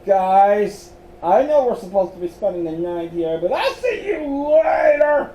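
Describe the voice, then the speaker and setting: A man voicing a jittery young man who talks in a squeaky, panicky way. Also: very animated, extremely slow, far from the mic, muffled recording, heavily acted. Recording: echoey room, faint noise.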